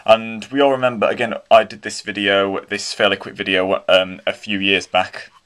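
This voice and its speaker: Enthusiastic British man, lowish voice. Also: flat delivery, moderate pace, fairly bright tone. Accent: British English. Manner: enthusiastic, rambling, many restarts and fillers. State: slightly croaky throat. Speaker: model train hobbyist unboxing a locomotive. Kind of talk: casual unboxing commentary. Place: indoors at home, carpeted floor.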